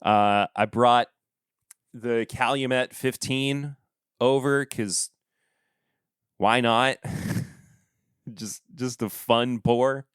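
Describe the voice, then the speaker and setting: A man with a stuffed-up nose talks slowly and loosely on a podcast, often trailing off into quiet. His voice is smooth and clean, recorded close and dry with no room sound. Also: mid-range voice, no room sound. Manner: relaxed, rambling, trailing off quietly. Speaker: podcast host. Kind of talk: casual solo review chat.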